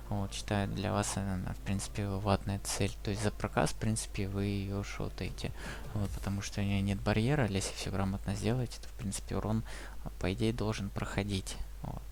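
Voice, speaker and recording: A man's voice: lowish voice, vocal fry. A young man giving casual gameplay guide commentary, rambling with lots of fillers. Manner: rambling, many fillers like "вот" and "то есть". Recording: music playing under the voice.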